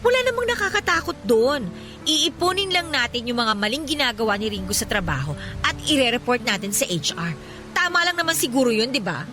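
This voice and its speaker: A woman's voice: on the high side, big pitch swings, a little fast, music in the background. An actress playing a sympathetic yet scheming female office friend, chatty and seeking agreement, in a Filipino radio drama. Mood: conspiratorial.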